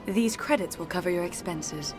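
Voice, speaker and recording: An actress playing a serene, mystical alien courtesan in a video game, speaking formally and poetically. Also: female voice, fairly low pitch, normal speed, natural voice. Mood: gracious. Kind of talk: video game cutscene acting. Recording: music behind.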